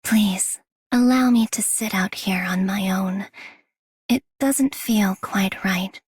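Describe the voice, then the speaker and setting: Whispery, melancholy loner. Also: female voice, mid-range voice, slow, no echo. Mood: quiet melancholy. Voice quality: breathy, whispery. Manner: soft, reserved, wistful. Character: solitary, melancholy, gentle wanderer woman. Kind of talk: game character voice acting.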